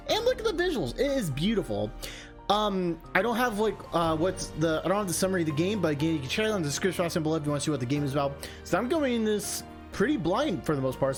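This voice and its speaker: Higher-voiced man chatting over loud music. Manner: rambling, self-interrupting, enthusiastic asides. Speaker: video game streamer and host. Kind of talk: casual, chatty let's-play commentary with some read-aloud game text. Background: game background music.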